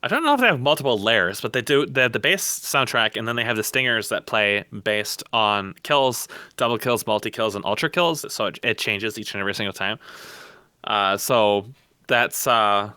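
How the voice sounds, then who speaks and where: A man's voice: fairly low pitch, expressive, normal speed, bright, forceful tone, clear, resonant tone, dry recording, studio quality. A man co-hosting a podcast in casual, opinionated discussion, rambling and emphatic, repeating words for stress. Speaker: podcast co-host.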